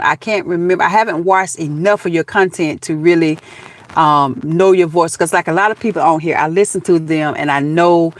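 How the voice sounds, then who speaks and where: A woman's voice: lowish voice, dry recording. A woman in her fifties from Georgia with a Southern accent, chatting casually with viewers on a live stream, laughing often and calling them baby and honey. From Georgia, USA. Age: 50s.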